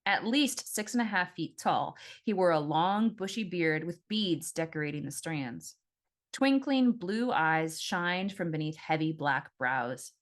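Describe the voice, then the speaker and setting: Woman narrating fiction with very lively intonation. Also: lowish voice, a little slow. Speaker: author reading own audiobook. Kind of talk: expressive first-person scripted narration.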